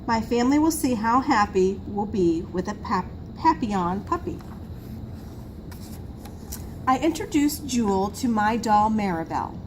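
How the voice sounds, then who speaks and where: Female school storyteller for a picture book: lively intonation, emphatic, slow delivery, audible background noise. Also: mid-range voice. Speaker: school assistant reading to pupils. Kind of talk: children's picture book read-aloud. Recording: noisy room recording.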